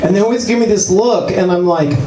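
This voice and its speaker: A man's voice: high voice, brisk, mellow, echoey room, low-quality recording. A man in his sixties performing a stand-up comedy set, wry, self-mocking and exasperated. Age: 60s. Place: comedy club stage.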